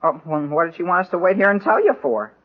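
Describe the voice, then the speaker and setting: A man's voice: high voice, very animated, quick pace. An actor playing an awkward, indignant teenage boy in a 1940s radio comedy. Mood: puzzled, indignant. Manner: flustered, protesting. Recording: muffled vintage 1940s radio recording.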